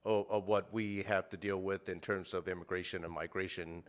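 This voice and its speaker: Man, low voice, rambling casual talk, lots of fillers, blunt cursing. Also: steady tone, moderate pace. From United States. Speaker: guest, meat purveyor.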